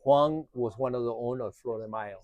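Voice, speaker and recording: A middle-aged restaurant owner with a Spanish accent talks through his story in simple, loosely built English. He speaks at a normal pitch, and his voice sounds dry and close, with no room echo. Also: brisk, darkish tone. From Uruguay. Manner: plain, loose English grammar, frequent uh. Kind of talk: casual interview answers.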